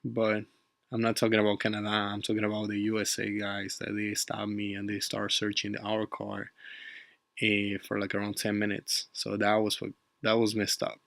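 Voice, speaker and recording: A man's voice: lowish voice, monotone, normal speed, creaky, a bit bright, no room sound, studio quality. A young man from Ecuador with an Ecuadorian Spanish accent, narrating his travel vlog in chatty, loose English full of fillers and the odd Spanish word. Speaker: travel vlogger.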